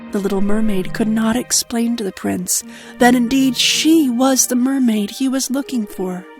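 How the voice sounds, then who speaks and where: A woman narrates a children's fairy tale from a script. Her voice sits a little high, and music plays clearly beneath it. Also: moderate pace, darkish tone.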